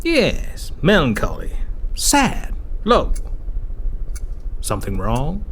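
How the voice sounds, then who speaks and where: Guy acting a president in an audio drama, formal n thoughtful, slow talker, voice goes up and down, bit of noise. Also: on the high side, huge pitch swings, a bit bright, exaggerated voice. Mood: gently probing. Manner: measured, formal, reflective. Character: male president, grave, courteous, perceptive statesman.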